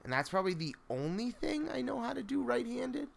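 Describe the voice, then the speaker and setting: A man hosting a story-reading livestream, chatting casually in a halting, think-out-loud way over background noise. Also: extremely high pitch. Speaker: host of a spooky story reading stream. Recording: clear steady background noise.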